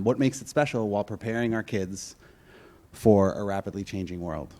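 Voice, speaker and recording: Earnest low-voiced man reading a statement. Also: a little mellow. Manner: earnest, reads a prepared speech. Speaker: school board candidate. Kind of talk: prepared candidate statement. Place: public forum room.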